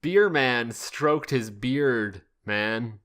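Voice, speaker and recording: A man hosting a podcast, reading fan fiction aloud in a jokey, deliberate way with frequent asides. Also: mid-range voice, fairly bright tone. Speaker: podcast host.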